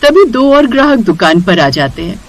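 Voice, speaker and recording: A woman's voice: mid-range voice, sing-song, normal speed, rough recording. A woman reading scripted narration for a story.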